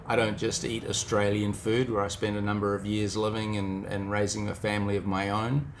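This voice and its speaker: A man's voice: on the low side, level tone, a little fast, faint music behind. A middle-aged man with a New Zealand accent giving an informal motivational talk, reflective and speaking straight to the listener.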